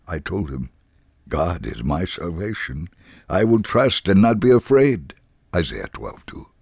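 A man reading a story in a dramatic, expressive way, acting out the quoted dialogue. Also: lowish voice, gravelly, no echo, studio quality. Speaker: reader of a dramatized true story.

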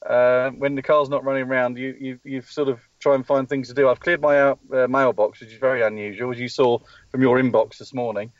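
A man's voice: medium pitch, level tone, brisk, hardly any pauses, no room sound, muffled recording. Rambling man on a phone-in. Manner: rambling, many ums and restarts. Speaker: motorsport team spokesperson. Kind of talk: live call-in interview. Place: at a race track testing session.

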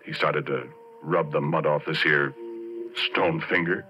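A man playing a folksy working-class oil driller, telling an eerie tale in halting, ungrammatical speech as 1940s radio drama. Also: rumbling low, fairly even intonation, a little hiss or hum. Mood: uneasy, confiding. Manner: colloquial, ungrammatical, halting, confiding. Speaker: narrator and lead character of a radio drama. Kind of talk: 1940s radio drama first-person storytelling. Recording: dull 1940s radio recording with music.

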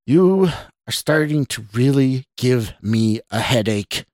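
A man's voice: medium pitch, lively intonation, normal speed, no echo, clean studio sound, close to an everyday voice. A man voicing a taunting, menacing male stranger in an audiobook, snarling and mocking with scorn. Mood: irritated, snarling. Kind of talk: audiobook character voice.

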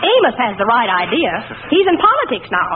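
A brazen, scatterbrained wife who nags and argues. She talks fast with a high, swooping pitch in old-time radio comedy style. The recording is noisy and phone-thin. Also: huge pitch swings, phone-quality sound. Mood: needling, breezy.

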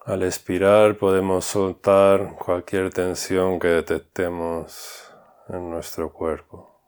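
A man guides a meditation in a calm, unhurried way, leaving long pauses between instructions. His voice sits very low and stays almost flat, with a smooth, resonant tone.

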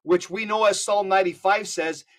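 Emphatic high-voiced man on a podcast. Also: normal speed. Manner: emphatic, animated storytelling. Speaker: podcast guest. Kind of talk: casual conversational podcast.

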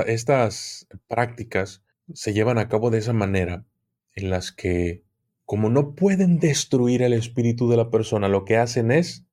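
A man's voice: medium pitch, darkish tone. A man who is an occultism expert guest, explaining at length in an interview and often repeating words while he searches for them.

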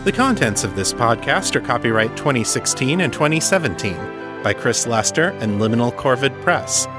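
A man hosting a solo podcast, reading and answering listener letters in an articulate, measured, explanatory way. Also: medium pitch, lively intonation, music in the background. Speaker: podcast host and novelist.

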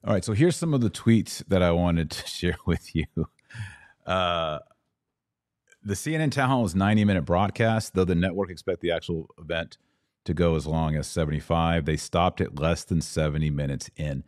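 A man's voice: deep voice, moderate pace, darkish tone. A man hosting a podcast, explaining and reacting to political clips in an informal, emphatic way. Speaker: podcast host.

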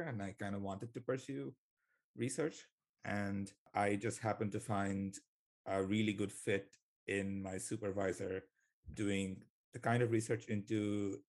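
A young man from Bangladesh, a graduate student, talking in a thoughtful, hesitant way on a podcast. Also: on the low side. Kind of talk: conversational podcast talk.

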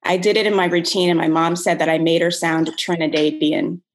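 A woman's voice: lowish voice, level tone, brisk. A woman comedian from Jamaica, chatting on a podcast over a video call in a wry, opinionated way. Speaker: comedian guest. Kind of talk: casual chat.

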